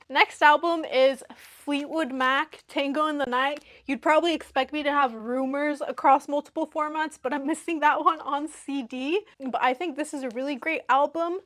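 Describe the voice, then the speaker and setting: A woman's voice: high voice, fairly bright tone. A young woman vlogger chatting casually to camera in an upbeat, giggly way. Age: young adult. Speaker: vlogger showing a record collection. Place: small furnished living room, indoors. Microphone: small clip-on lavalier mic at chest.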